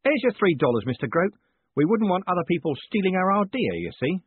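A male reader acts out a sensible, guarded ringleader with a lifted, husky voice. He stays polite throughout, in a storybook recording with a narrow phone-like band. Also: high voice, big pitch swings, brisk, no echo, voice pushed up, full character voice. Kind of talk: audiobook character voice.

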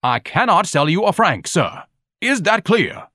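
A man's voice: high voice, big pitch swings, measured pace, pushed and bright, dry recording, clean studio sound, pitched above the performer's own voice, exaggerated voice. A man voicing a pompous, easily outraged hot dog vendor with haughty, ornate diction, in an audiobook story. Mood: haughty, dismissive.

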